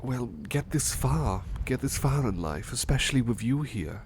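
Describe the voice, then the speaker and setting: A man playing a tender, courteous butler in love, murmuring gently and hesitantly in a soft romantic ASMR roleplay. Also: mid-range voice, animated, unhurried, slight background noise. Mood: adoring.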